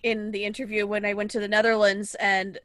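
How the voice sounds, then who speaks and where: A woman's voice: mid-range voice, little pitch movement, brisk, a bit bright, dry recording. A woman co-hosting a podcast, telling anecdotes in a chatty way and laughing easily. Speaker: podcast co-host. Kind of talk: casual podcast chat.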